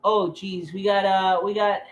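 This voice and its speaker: A man co-commentating on a sports stream, chatting casually and giving score updates in a hesitant, low-key way. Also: level tone, measured pace, fairly bright tone.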